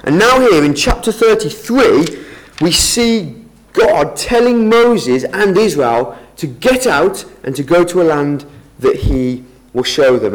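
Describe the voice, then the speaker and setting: Man, lay preacher, earnest Bible exhortation, animated intonation, somewhat high pitch, self-correcting phrasing. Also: rough recording. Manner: earnest, explanatory, with frequent restarts. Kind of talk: Bible talk to a congregation. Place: meeting room with an audience.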